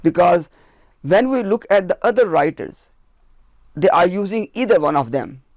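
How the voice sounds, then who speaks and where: A man with a somewhat high voice lays out his argument point by point in a panel discussion. He speaks firmly and with emphasis, and the sound is dry and close. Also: animated, moderate pace, darkish tone, dry recording. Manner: methodical, counts points off one by one; emphatic, firm. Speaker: researcher of religious literature. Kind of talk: discussion panel, explaining at length.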